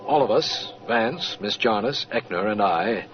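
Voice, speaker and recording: A man's voice: fairly low pitch, animated, slow pace, over music. An actor playing an earnest, formal male district attorney in 1940s radio drama style. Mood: formal, narrating. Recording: muffled, noisy old radio recording.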